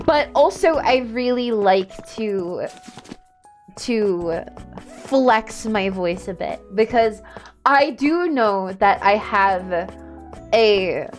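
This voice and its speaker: A woman livestreamer chatting casually while she plays a video game, rambling and self-deprecating. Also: medium pitch. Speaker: streamer, VTuber.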